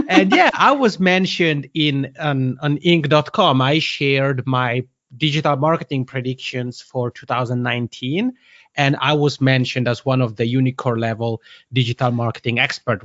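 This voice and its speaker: A man's voice: medium pitch, a bit bright, no echo, dull audio. A man from the Italian-speaking part of Switzerland with an Italian accent, answering interview questions in a friendly way and laughing easily. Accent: Swiss Italian. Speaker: podcast guest, consultant.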